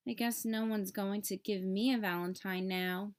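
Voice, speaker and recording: A woman's voice: mid-range voice, steady tone, normal speed, pitched below the performer's own voice, lightly acted. A woman voicing an earnest, sensitive young boy turtle in a children's storybook, childlike and emotional. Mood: dejected.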